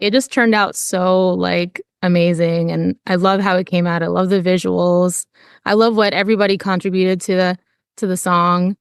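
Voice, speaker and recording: Young woman from the Philippines, guest singer; smooth, dry close-mic podcast chat. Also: mid-range voice, dry recording. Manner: laughs often, chatty and easygoing.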